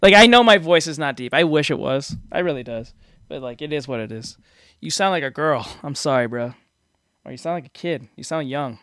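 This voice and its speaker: A man with a high, boyish voice, rambling and poking fun at himself while reacting to clips on stream. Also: expressive, brisk, a bit bright, smooth, no echo, studio quality. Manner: rambling, self-deprecating, laughs often. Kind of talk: casual reaction commentary. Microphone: desk microphone.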